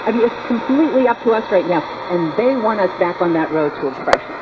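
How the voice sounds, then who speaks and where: A man's voice: high voice, animated, quick pace. A man delivering an emphatic, repetitive political talk monologue, heard through an AM radio speaker. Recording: hissy, dull AM radio speaker pickup. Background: loud radio static.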